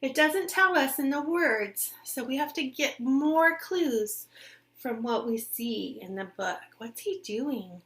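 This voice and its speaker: A woman with a fairly high voice reads a children's picture book aloud at a very unhurried pace. She sounds like a teacher, expressive in her reading and simple in her explanations, often turning to her young listeners with questions.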